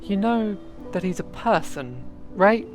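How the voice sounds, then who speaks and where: A woman's voice: mid-range voice, lively intonation, slow, a little mellow, soft background music. A woman playing a sardonic, impatient young explorer and loner in a fantasy audio drama, grumbling bluntly. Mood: sardonic, wary.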